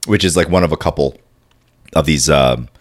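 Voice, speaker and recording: A podcast host with a stuffy chest cold, chatting casually, rambling and restarting his sentences and clearing his throat often. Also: very deep voice, normal speed.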